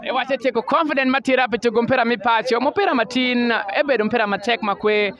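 A young man doing an energetic post-match interview outdoors on a football field, holding a handheld mic. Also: very high-pitched, fast talker, dull audio. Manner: energetic, switches between English and local language. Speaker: sports interviewer. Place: outdoor football field among a large crowd. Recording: crowd noise outdoors.

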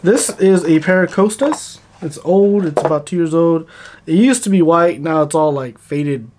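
A man's voice: on the high side, moderate pace, noisy background. A man in his thirties, talking casually to camera about his fishing gear. He keeps checking in with "okay?", recorded in a plain indoor studio. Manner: relaxed, chatty, often checks in with "okay?". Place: plain indoor studio against a grey wall.

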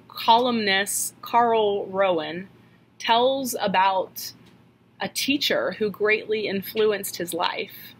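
A woman in her thirties preaching a sermon from a home office into a webcam, earnest and questioning, slowing down to stress key lines. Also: on the high side, fairly bright tone, rough recording. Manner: earnest, questioning, slows for emphasis. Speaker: pastor. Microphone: webcam at desk.

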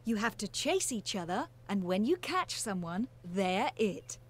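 A woman voicing a kind, patient mother pig in a children's cartoon game, in a gentle motherly manner. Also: medium pitch, very animated, a little slow, husky.

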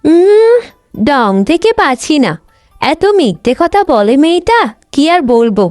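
একজন মহিলা কার্টুন গল্পে অহংকারী, স্বার্থপর তরুণী বড় বোনের চরিত্রে কণ্ঠ দিচ্ছেন, কথায় বকাঝকা আর অভিযোগের সুর।. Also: female voice, high voice, sing-song, unhurried, darkish tone, no room sound, clean studio sound, faint music behind. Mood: scolding, aggrieved. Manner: scolding, complaining. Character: vain, selfish, sharp-tongued young elder sister. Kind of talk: Bengali cartoon story voice acting.

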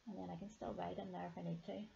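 A hoarse woman with a deep, gravelly fry in her voice talks quietly while she works at a desk. Her tone is mellow and dark, and the camera audio sounds a little dull and lo-fi. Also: level tone, rapid-fire, slight background noise. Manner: soft-spoken, musing aloud as she works. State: hoarse from a sinus infection. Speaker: planner vlogger. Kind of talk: casual narration while decorating. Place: indoors at a table, camera pointing down at her hands.

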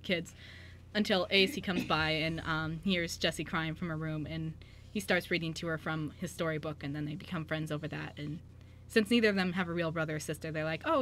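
A woman's voice: sits low, a bit bright, a little hiss or hum. A woman in casual chat, giving an informal recap as a cast member on a radio show.

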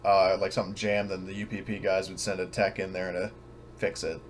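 A man narrating a tabletop game as game master in a casual, halting, self-correcting way. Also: low voice, little pitch movement. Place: at home, online voice session. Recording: clearly noisy home recording.

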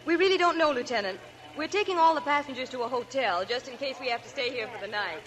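An actress playing a polite airline stewardess in a 1940s radio drama, courteous and reassuring. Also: female voice, high-pitched, quick pace, fairly bright tone, a little hiss or hum.

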